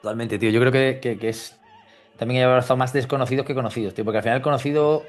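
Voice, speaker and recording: A man's voice: medium pitch, steady tone, very fast, soft background music. A man with a Spain Spanish accent hosting a casual football chat, talking informally with plenty of slang. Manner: informal, chatty, peppers talk with slang. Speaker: podcast host and interviewer.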